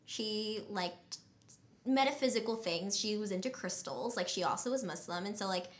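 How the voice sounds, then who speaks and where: A woman's voice: mid-range voice, animated, normal speed. A woman hosting a podcast in a casual, conversational style. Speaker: podcast host.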